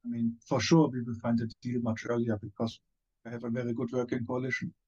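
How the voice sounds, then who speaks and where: A man's voice: mid-range voice, completely flat delivery, a little fast, darkish tone, husky, muffled recording. A man with a German accent speaking on a video call panel, hesitant, with many fillers and restarts. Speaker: panelist, former member of parliament. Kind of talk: online panel discussion.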